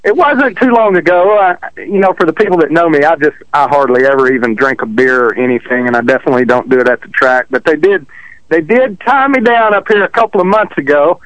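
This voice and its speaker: A man from Texas with a country accent, a drag racer telling stories in a relaxed, self-deprecating way over the phone. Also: fairly high pitch, a little fast, dry recording, low-quality recording. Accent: Texan country. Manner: easygoing, self-deprecating storyteller. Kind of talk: casual interview chat. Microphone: phone call.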